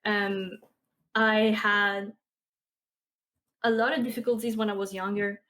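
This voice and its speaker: A woman's voice: medium pitch, fairly even intonation, slow pace. French-Canadian woman in her twenties chatting. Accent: Québécois French. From Quebec. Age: 20s. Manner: chatty, many fillers and soft laughs. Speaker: podcast guest, streamer and artist. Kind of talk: casual interview chat.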